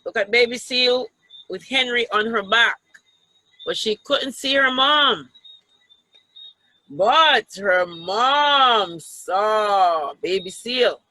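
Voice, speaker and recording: A woman reads a children's story very slowly, in a bright, forward voice. She is deliberate and pauses to point things out to her young listeners. The sound is close and dry. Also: on the high side, lively intonation, no echo, poor audio. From Jamaica. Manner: deliberate, pausing to explain pictures and question children. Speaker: storytime reader, teacher. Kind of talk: reading a picture book aloud to children.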